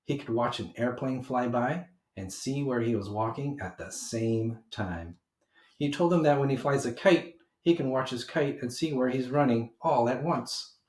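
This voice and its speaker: A man reading a children's picture book aloud with animated, emphatic delivery for young listeners. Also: medium pitch, normal speed. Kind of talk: expressive children's read-aloud narration. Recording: roomy homemade recording.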